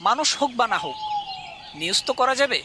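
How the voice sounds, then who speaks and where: Quick, high-voiced eager reporter. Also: male voice, wildly swooping pitch, noisy background, exaggerated voice. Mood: breezy, teasing. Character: brisk, eager young male TV ghost-hunting reporter. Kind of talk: scripted cartoon dialogue and show presenting.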